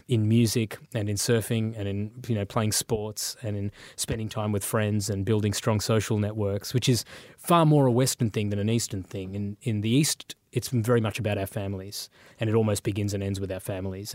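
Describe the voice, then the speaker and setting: A man born in Sri Lanka and raised in Australia, speaking as an interview guest. He talks reflectively and thoughtfully, often pausing with um and uh. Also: lowish voice, darkish tone, no echo, clean studio sound. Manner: thoughtful, with frequent um and uh.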